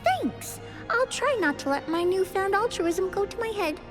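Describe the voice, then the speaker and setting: Very high-voiced, animated, cheeky little game sprite, proud and dismissive. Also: female voice, moderate pace, music in the background. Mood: wry. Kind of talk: video game voice acting.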